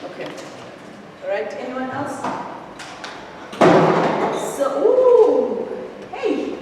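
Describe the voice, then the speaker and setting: A woman's voice: high-pitched, drawn-out words, highs cut off. A woman leading a writing workshop, asking the students questions and mixing in local-language words. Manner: lively teacher, asks questions, mixes in local-language words. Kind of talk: leading a class exercise. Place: school hall. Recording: echoey hall, distant mic, background noise.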